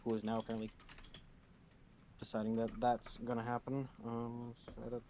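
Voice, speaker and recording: Male tabletop streamer, mid-pitched, blunt and foul-mouthed, casual chat, noisy room with keyboard clatter. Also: completely flat delivery, normal speed, warm tone. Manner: sweary, blunt, joking. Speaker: streamer and player in a tabletop game.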